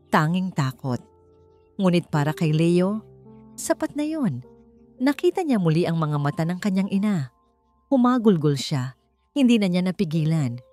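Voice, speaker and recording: A woman's voice: sits low, dark tone. A woman reading a scripted dramatic story as its narrator.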